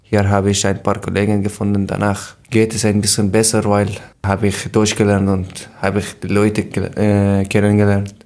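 A seventeen-year-old young man from Afghanistan, a podcast guest, speaking haltingly with frequent fillers. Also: lowish voice, monotone, darkish tone. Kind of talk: casual podcast interview.

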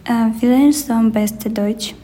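A woman's voice: fairly high pitch, normal speed, dark tone, a little hiss or hum. A woman from Ukraine, politely asking questions and answering them on a podcast. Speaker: interviewer. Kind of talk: podcast interview.

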